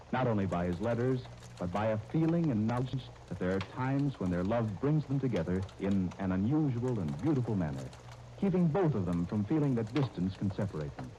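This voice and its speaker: A man announcing and narrating in formal 1930s radio style, with measured, deliberate phrasing. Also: medium pitch, warm tone, muffled recording. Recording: old 1930s radio disc, faint noise.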